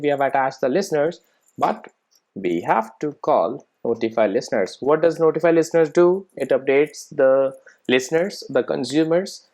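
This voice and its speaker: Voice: mid-range voice, darkish tone. A presenter giving an informal programming tutorial, thinking aloud while working and often checking in with short rhetorical questions.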